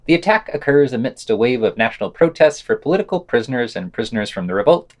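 A man's voice: medium pitch, expressive, quick pace. A man co-hosting a podcast, reading scripted news reports with the odd casual aside.

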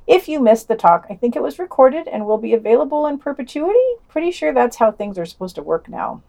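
A woman's voice: on the high side, expressive, quick pace, vocal fry, warm tone. A woman reading a scripted podcast news roundup, dropping in casual, playful and wry asides.

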